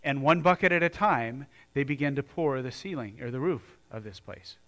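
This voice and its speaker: A man's voice: medium pitch, moderate pace, a bit bright. A man from the United States preaching a sermon in a church, earnest and conversational, often restarting his sentences. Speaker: pastor.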